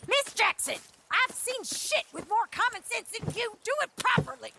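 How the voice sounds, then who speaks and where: Bossy, brusque camp matron, swooping pitch. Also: female voice, sing-song, moderate pace, creaky, heavily acted. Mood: scolding, angry. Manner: brusque, scolding. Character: stern, bossy middle-aged woman running an outlaw camp. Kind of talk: dramatic Western video game acting. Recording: outdoor game scene with noisy backdrop.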